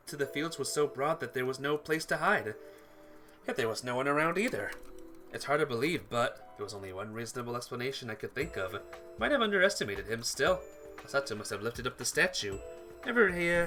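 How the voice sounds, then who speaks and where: A man's voice: mid-range voice, sharp tone. A man hosting a game playthrough, reading visual novel narration aloud dramatically and stumbling over some words. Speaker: gaming playthrough host. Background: game music.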